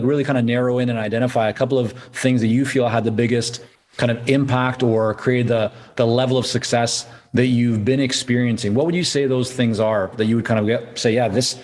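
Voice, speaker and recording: A man's voice: medium pitch, a little mellow. A man from Canada hosting a podcast interview, asking long, thoughtful questions in a conversational way. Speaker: podcast host.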